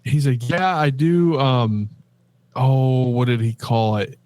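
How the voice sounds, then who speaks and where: A man's voice: mid-range voice, steady tone, slow pace, a little mellow. A middle-aged man co-hosting a podcast, telling a story about his day in a rambling, chatty way with lots of fillers. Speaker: podcast co-host. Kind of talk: casual chat.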